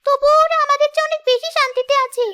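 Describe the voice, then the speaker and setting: Woman as young cartoon girl, sincere and emotive, piercingly high and bright, over music. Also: steady tone, quick pace, dry recording, clean studio sound. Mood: earnest, pleading. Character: earnest young schoolgirl in a moral cartoon story. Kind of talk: scripted cartoon dialogue. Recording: music behind the voice.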